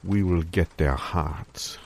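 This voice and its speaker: Man doing a grandiose mock-French schemer, low-voiced. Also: vocal fry, slight background noise, full character voice. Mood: scheming, grandiose. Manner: florid, deliberate, mock-grave. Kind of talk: improvised audio comedy sketch.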